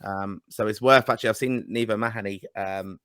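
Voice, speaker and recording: A man co-hosting a casual football podcast over a video call, measured and earnest. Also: fairly low pitch, steady tone, fairly bright tone, smooth, no room sound, clean studio sound. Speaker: podcast co-host. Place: England.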